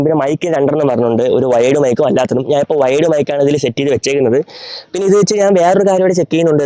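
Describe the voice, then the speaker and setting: A man's voice: on the high side, dark tone, dry recording. A young man with a Malayali accent, chatting casually through a gear review and mixing in English words. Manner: rapid, chatty, mixes English words into his speech.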